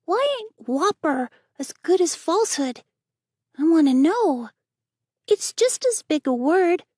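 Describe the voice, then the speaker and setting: A mischievous little boy with a high, childlike voice and a frank, pleading, colloquial way of talking, as a woman puts him on. The sound is dry and close, with no room around it. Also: expressive, slow, dark tone, no room sound, full character voice. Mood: curious.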